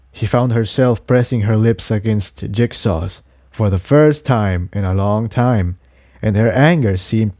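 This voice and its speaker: Man, story narrator, scripted reading, mellow tone, dry sound. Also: on the low side, no echo. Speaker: audiobook reader of fan fiction.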